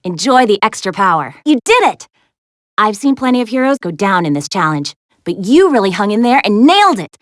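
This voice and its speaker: An actress voicing a plucky, sassy young woman adventurer in a video game, expressive and wry as she briefs heroes. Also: mid-range voice, fairly quick, no room sound, studio quality, natural voice. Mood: impressed, cheering. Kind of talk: animated video game character lines, giving mission briefings.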